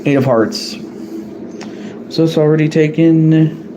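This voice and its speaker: A man's voice: a little high, steady tone, slow pace, noisy background. A man casually drawing cards on a live stream, hesitant and apologetic, often correcting himself. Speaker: streamer drawing cards for a fan wrestling tournament.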